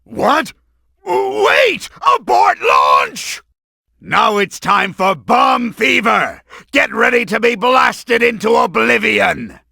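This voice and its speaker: A male actor voicing a bombastic, scheming middle-aged mad scientist villain in a video game, with a raspy, gravelly voice and pompous, theatrical delivery. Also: very high-pitched, huge pitch swings, takes it slowly, sharp tone, raised voice, full character voice. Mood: frantic, blustering. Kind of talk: cartoonish video game voice acting.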